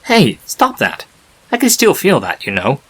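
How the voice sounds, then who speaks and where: A man's voice: medium pitch, huge pitch swings, measured pace, fairly bright tone, breathy edge, heavily acted. A man voicing a grumpy, reluctant male chaos spirit trapped in stone, exasperated and grudging, in a fan story reading.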